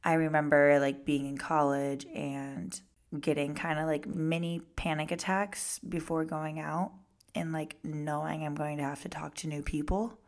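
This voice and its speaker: Raspy, low-voiced young woman podcaster. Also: flat delivery, unhurried. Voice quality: raspy, hoarse today. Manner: chatty, lots of "like" fillers. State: hoarse from singing and screaming. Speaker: podcast host. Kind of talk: casual solo podcast talk.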